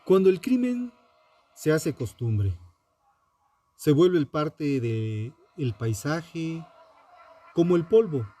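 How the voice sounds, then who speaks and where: A man with a mellow, relaxed tone narrates true crime from a script. His delivery is slow and solemn, built on short, dramatic phrases with pauses for emphasis. The pitch sits a little high for a man and moves expressively.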